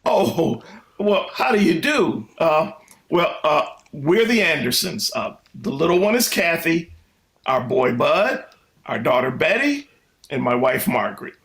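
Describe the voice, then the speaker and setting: A man's voice: fairly high pitch, very animated, a little slow, fairly bright tone, exaggerated voice. An actor playing a mild, polite middle-aged father in a radio play, stammering courteously and a bit flustered. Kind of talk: old-time radio drama acting.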